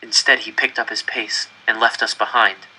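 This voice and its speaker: A man's voice: fairly low pitch, level tone, moderate pace, sharp tone, rough recording. A man reading a first-person story aloud dramatically, with an earnest, intense and emphatic delivery. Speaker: audiobook reader.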